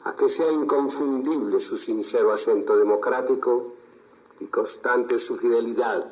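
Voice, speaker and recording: A man's voice: medium pitch, moderate pace, a little mellow, rough recording. A man gives a solemn, oratorical formal address in an archive radio recording. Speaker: speech-maker on radio.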